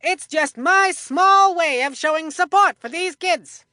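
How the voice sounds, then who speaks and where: Very high-pitched kid baseball commentator. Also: female voice, unhurried, bright, forceful tone, no echo, clean studio sound, heavily acted. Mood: eager, opinionated. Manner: folksy, emphatic, addresses a broadcast partner. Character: excitable kid baseball commentator, folksy and opinionated. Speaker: sports color commentator. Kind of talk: scripted cartoon game sports commentary.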